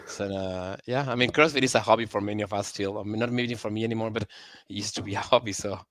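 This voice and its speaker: A man's voice: fairly low pitch, fast talker, a bit bright, no room sound, studio quality. A man speaking as a podcast guest in casual chat, self-deprecating and laughing often.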